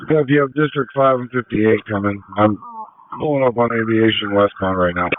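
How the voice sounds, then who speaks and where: A man's voice: on the low side, normal speed. Male EMS supervisor on tinny radio. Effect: two-way radio transmission. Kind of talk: brief emergency radio traffic. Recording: tinny narrowband radio transmission.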